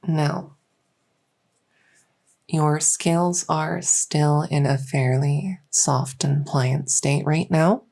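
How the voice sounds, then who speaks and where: Woman playing a husky, low-voiced dragon clinician. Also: a little mellow, heavily acted. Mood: gentle, reassuring. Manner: unhurried, hesitant, reassuring. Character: gentle, reassuring fairy-dragon clinician doing a check-up. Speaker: roleplay audio performer. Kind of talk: soft medical roleplay ASMR.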